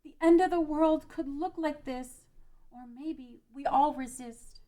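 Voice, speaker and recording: A woman's voice: sits high, a little slow, warm tone. A woman playing a brave, earnest young peasant girl pleading for peace in an amateur fantasy table read.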